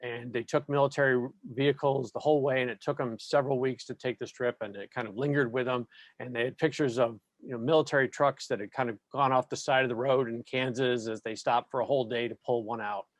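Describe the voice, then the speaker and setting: A man's voice: medium pitch, fairly even intonation, fast, no room sound. Male attendee on a video call, dry sound, rambles through an anecdote to get to his question. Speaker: webinar attendee asking a question. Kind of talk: question from the audience.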